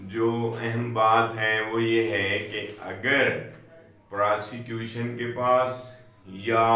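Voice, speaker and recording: A man with a Pakistani accent lectures on law at a slow, deliberate pace. His voice is bright and forceful, and he repeats key points with English legal terms mixed in. The room echoes heavily around him. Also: medium pitch. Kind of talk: explanatory legal lecture with worked examples.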